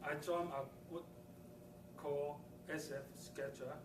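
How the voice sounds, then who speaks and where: Man with a Chinese accent, hesitant first-time speaker, slow delivery, distant and noisy room recording. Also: a little high, steady tone. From southern China. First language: Cantonese. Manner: hesitant, slow, with many ums and uhs. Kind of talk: prepared speech. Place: meeting room. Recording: echoey room, mic far off, background noise.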